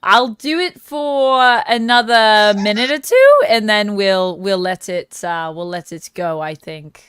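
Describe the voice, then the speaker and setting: A woman's voice: mid-range voice, a little slow, a bit bright, smooth, dry recording, clean studio sound. A woman hosting a tabletop game livestream, chatting casually, laughing easily and thanking viewers. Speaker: streamer host.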